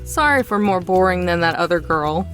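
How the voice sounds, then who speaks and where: A man's voice: sits high, expressive, normal speed, a bit bright, faint music behind, pitched above the performer's own voice. A man voicing a practical, guarded young woman in a visual novel, dry and a little exasperated. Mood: puzzled.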